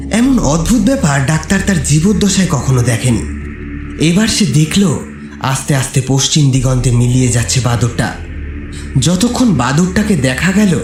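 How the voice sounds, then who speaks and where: A man narrating a scripted horror story in a suspenseful, theatrical storytelling manner. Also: mid-range voice. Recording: roomy reverb, faint music behind.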